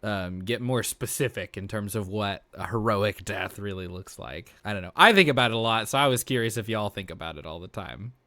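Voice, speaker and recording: A man's voice: on the low side, lively intonation, brisk, hardly any pauses, sharp tone, no room sound, studio quality. A man chatting casually on a roundtable, informal and emphatic, repeating words as he thinks aloud. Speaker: podcast regular, tabletop role-playing player.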